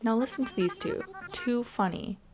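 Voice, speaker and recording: A woman's voice: on the high side, normal speed, over music. A woman giving casual, chatty gameplay commentary in an informal way. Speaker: gaming video host.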